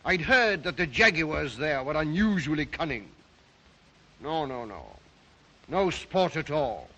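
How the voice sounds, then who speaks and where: An actor playing a suave, obsessive Russian count and hunter, speaking with a Russian accent in a deliberate, theatrical, old melodrama manner. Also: male voice, fairly high pitch, sing-song, sharp tone, full character voice. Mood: proud, boastful. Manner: theatrical, deliberate, brooding, courtly. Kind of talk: 1930s film-era melodramatic acting.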